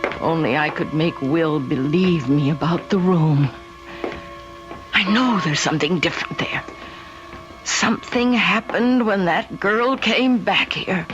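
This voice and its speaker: An actress playing a gentle, lonely older housewife in an old-time radio drama. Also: female voice, fairly low pitch, a little slow, close to an everyday voice. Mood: brooding, wistful. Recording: muffled old radio recording with music behind.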